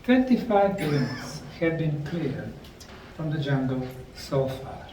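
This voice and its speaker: A middle-aged man slowly narrating a documentary on location at ancient ruins, explaining the sites like a tour guide. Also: on the high side, lively intonation, mellow, a little hiss or hum. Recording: roomy, distant mic with faint outdoor ambience.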